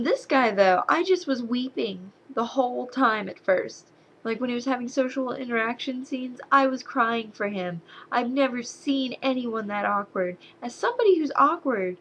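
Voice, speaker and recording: Young woman movie reviewer, slight Southern accent, higher voice, sarcastic rants with exasperated outbursts. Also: fairly bright tone, slight background noise, poor audio. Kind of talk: casual movie review to camera.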